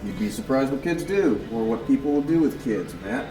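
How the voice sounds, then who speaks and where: A man's voice: mid-range voice, animated, a little fast, over music, close to an everyday voice, rough recording. An actor playing a domineering small-town police investigator in an audio drama, a man questioning in a blunt, folksy, probing way. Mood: suspicious, pressing. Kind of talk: fiction podcast audio drama dialogue.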